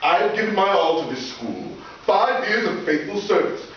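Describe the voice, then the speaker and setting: Pompous older principal, high-pitched bluster, hot temper, radio sitcom, distant dull mic. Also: male voice, very animated, normal speed. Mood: self-important. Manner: pompous, blustering, theatrical orator. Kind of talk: old-time radio sitcom acting before an audience. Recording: echoey live hall, distant mic, dull, hissy.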